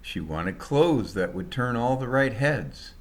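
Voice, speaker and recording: A man's voice: mid-range voice, very animated, slow pace. A man narrating a scripted short story as its author, in a colloquial, dramatized storytelling style.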